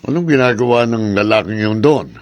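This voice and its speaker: An actor playing a stern, disappointed older father in a Tagalog radio drama, speaking with deliberate, weighty phrasing. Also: fairly low pitch, no room sound, natural voice. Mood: stern, regretful.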